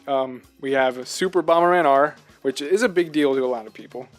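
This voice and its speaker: A man's voice: mid-range voice, fairly quick, faint music behind. A man co-hosting a casual video game discussion, talking in an earnest, emphatic way and often asking rhetorical questions. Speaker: podcast co-host.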